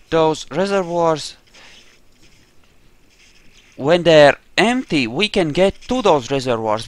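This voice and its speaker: A man with a clear, smooth voice commentates on a game he is playing. He speaks at an unhurried pace, thinking aloud as he goes. His manner is offhand and peppered with casual swearing. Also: fairly high pitch, fairly bright tone. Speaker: gamer narrating his own playthrough.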